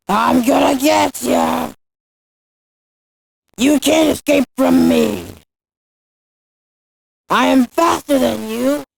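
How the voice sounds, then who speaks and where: Gravelly, taunting killer monster, voiced by a woman. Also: sits high, no room sound, full character voice. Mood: furious boasting. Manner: mocking, menacing, shouted taunts. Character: taunting, boastful, bloodthirsty speed-obsessed killer monster. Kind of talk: video game character voice lines.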